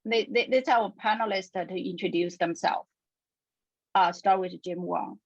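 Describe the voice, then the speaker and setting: A woman's voice: mid-range voice, a little slow, gravelly, no room sound. A woman moderating a panel on a webinar, speaking carefully with small restarts. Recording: dull, dry video-call audio.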